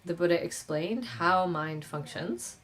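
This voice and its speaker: Woman, interpreter, teaching q&a, video call, halting delivery, unhurried. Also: fairly low pitch, a crowd in the background. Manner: measured, halting, with pauses and fillers. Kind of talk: interpreted question-and-answer on a Buddhist text.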